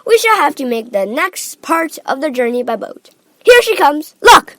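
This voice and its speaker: A woman voicing an excitable, showy, eccentric man who owns a chocolate factory in a storybook. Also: high voice, normal speed, dry recording. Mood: brisk, cheery.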